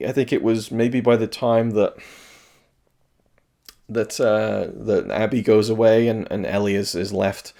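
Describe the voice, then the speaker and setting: A slightly hoarse man reviewing video games in a casual, rambling solo talk, thoughtful and full of restarts. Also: on the low side, steady tone, a little slow, warm tone.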